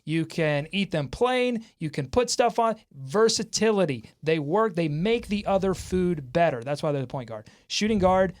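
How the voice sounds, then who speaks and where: A man hosting a sports talk show in an excitable, jokey way. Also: high voice, normal speed, hardly any pauses. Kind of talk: lively sports talk with co-hosts.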